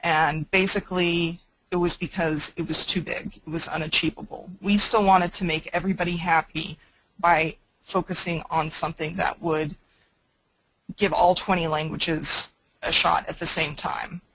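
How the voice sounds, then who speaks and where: A woman's voice: on the low side, level tone, normal speed, fairly bright tone, dry recording, rough recording. A woman presenting on a webinar call, speaking plainly and practically with many fillers.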